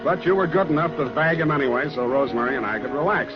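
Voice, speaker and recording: A wry, hard-boiled American private eye, played by a male actor in a 1940s radio drama. He is easygoing and deadpan, with an average-pitched voice. The old recording is dull and muffled, with music in it. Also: quick pace, natural voice. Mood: wry narration. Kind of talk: 1940s radio detective drama acting and narration.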